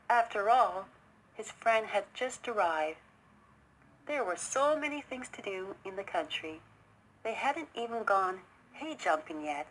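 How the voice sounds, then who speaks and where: A woman reading a children's story aloud in an expressive narrating style. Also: mid-range voice, rough recording. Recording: noticeable background noise.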